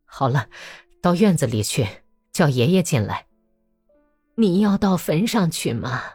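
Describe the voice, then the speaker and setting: A curt, grief-stricken male officer, read by a woman lowering her voice, speaks in short, quiet phrases. The recording is dry and close, with faint music behind it. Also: very animated, moderate pace, breathy edge, no echo, exaggerated voice. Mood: subdued. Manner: terse, subdued. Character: grieving curt Cossack officer, middle-aged man.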